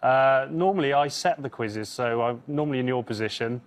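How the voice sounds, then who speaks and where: A man in his thirties talks casually on a quiz show as team captain, easygoing and a little rambling. His voice sits at a middle pitch. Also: fairly quick. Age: 30s. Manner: relaxed, chatty, with fillers. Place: television studio.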